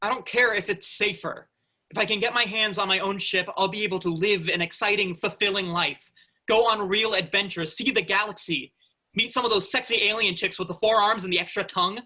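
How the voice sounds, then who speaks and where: A man's voice: sits high, steady tone, fairly quick, pushed and bright, heavily acted, low-quality recording. A man playing a restless, sarcastic space freighter worker, informal and exasperated, in a staged reading. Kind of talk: virtual staged reading of a sci-fi play.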